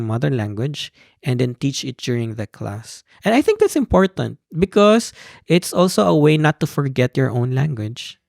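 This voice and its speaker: Guest voice: gravelly young Filipino man, animated storyteller, dry close sound. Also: medium pitch, no echo. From Philippines. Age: young adult. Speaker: podcast guest, PhD student. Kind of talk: conversational interview.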